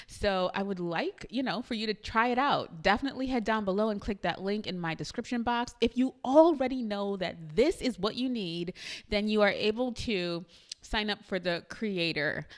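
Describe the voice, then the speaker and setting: A woman with a Trinidadian accent talking chattily and eagerly to her viewers in a casual tutorial vlog. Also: mid-range voice. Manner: chatty, enthusiastic, addresses viewers directly with frequent laughs. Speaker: YouTube creator.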